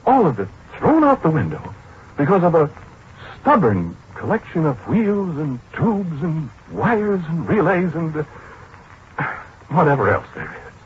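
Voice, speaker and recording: An American actor playing a fussy, exasperated middle-aged scientist who speaks hesitantly and excitably in a 1940s radio drama. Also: male voice, on the high side, measured pace, a little hiss or hum. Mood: aggrieved, despairing. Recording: muffled 1940s radio broadcast with music.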